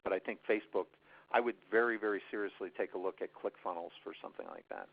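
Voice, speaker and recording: Gravelly man on a tinny phone line. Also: fairly low pitch, quick pace, very noisy. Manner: rambling, many restarts and fillers. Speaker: guest presenter, marketing expert. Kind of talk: unscripted webinar Q&A advice.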